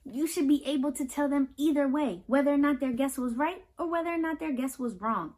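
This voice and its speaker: A high-voiced American woman hosts a picture book read-aloud for children. She talks straight to her young listeners in an affirming, reassuring way, with expressive repetition to drive points home. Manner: expressive, repeats phrases for emphasis.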